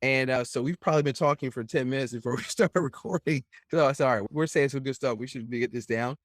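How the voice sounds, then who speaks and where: A man's voice: mid-range voice, fairly quick, no room sound, clean studio sound. A man hosting a podcast interview, chatting casually in a rambling, opinionated way and often tagging his points with "right?". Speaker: podcast host.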